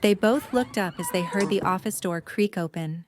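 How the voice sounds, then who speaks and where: A woman's voice: mid-range voice, moderate pace, darkish tone, a little hiss or hum. A woman reading scripted story narration for an audio drama.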